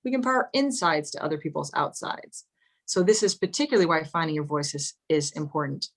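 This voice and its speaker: A fairly low-pitched woman talks over a video call in a loose, storytelling way. She drops in "you know" often and slips in wry, self-mocking asides. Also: brisk. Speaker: panelist. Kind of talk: casual panel chat on a video call.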